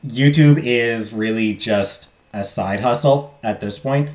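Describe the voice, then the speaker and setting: A man streaming a visual novel, loudly reading the game text aloud and chatting with his viewers. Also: mid-range voice, takes it slowly. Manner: loud, talks loudly. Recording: roomy echo, faint game music.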